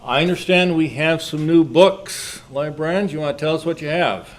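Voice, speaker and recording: A man chairing a club meeting, giving informal announcements in a halting, rambling way. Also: fairly high pitch. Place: indoor club meeting room. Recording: faint background noise in meeting room.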